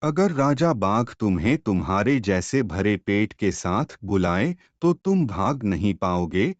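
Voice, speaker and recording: Sly, self-righteous cartoon fox, played by a man: unhurried, explaining, close dry sound. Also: on the low side, big pitch swings, no echo, lo-fi sound. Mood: smug, advising. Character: sly self-satisfied fox preaching restraint.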